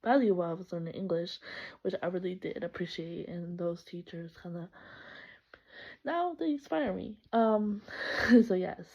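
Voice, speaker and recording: A woman's voice: fairly low pitch, normal speed, creaky, mellow. A young woman from El Salvador introducing herself for a class, chatty and upbeat, laughing often and correcting herself. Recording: slightly muffled lo-fi recording.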